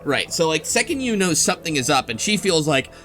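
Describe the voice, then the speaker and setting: A man hosting a casual podcast discussion of a game story, talking in an animated, argumentative way. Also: a little high, fairly quick. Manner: animated, argumentative, quotes characters while explaining. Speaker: podcast host. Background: music behind the voice.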